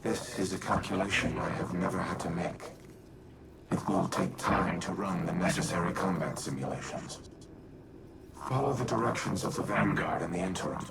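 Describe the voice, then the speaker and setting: A man's voice: low voice, normal speed, creaky, very noisy. An actor voicing a brooding artificial war machine in a video game, formal and precise in his speech. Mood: resolute. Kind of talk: dramatic video game monologue.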